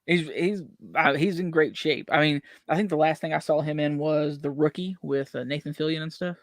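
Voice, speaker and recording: A man's voice: on the high side, fairly even intonation, moderate pace, dry recording, clean studio sound. A young man from West Virginia with a Southern country accent, rambling through a casual movie chat with lots of fillers. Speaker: podcast co-host.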